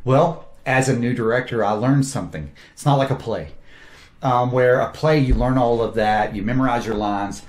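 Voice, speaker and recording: A man, a film director, talks through his cast with eager, animated enthusiasm. His voice sits at a middle pitch and carries a roomy echo. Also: normal speed. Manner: enthusiastic, animated storytelling with quoted asides. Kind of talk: casual interview talk.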